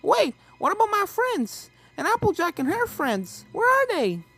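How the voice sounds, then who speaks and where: A woman's voice: extremely high pitch, huge pitch swings, unhurried, a bit bright, voice pushed up, heavily acted. A woman voicing a plucky, anxious young filly adventurer with an urgent, exclaiming manner. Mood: worried.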